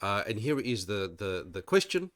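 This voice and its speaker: Low-voiced man with a nasal cold. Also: big pitch swings, moderate pace, dry recording, studio quality. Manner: rambling and digressive, with frequent restarts. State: recovering from illness, slightly nasal; occasional coughing. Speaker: channel host. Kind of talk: casual commentary monologue.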